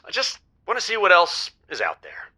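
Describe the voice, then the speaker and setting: A man's voice: sits high, wildly swooping pitch, moderate pace, sharp tone, exaggerated voice. A man playing a suave, shameless lovelorn bachelor calling in to a radio dating comedy, flippant and nonchalant. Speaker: radio show dating contestant. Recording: dull, lo-fi call-in line.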